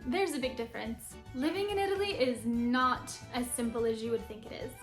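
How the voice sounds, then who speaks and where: Young woman vlogging, high voice, super excited and bubbly, echoey room, bit of music behind. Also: fairly quick. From Philadelphia, United States. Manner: enthusiastic, bubbly, laughs often. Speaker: vlogger and Italian teacher. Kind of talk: upbeat vlog introduction to camera.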